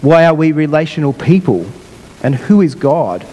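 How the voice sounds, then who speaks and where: A man preaching a sermon in an unhurried way, his voice croaky, often repeating and rephrasing himself. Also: a little high, sing-song, dark tone, long reverb tail. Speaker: pastor.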